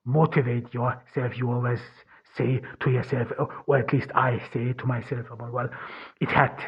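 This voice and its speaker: A man whose first language is German, talking through a personal monologue as a podcast host in a halting, earnest way, restarting and searching for words. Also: medium pitch, level tone, slightly rough. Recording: dull lo-fi recording.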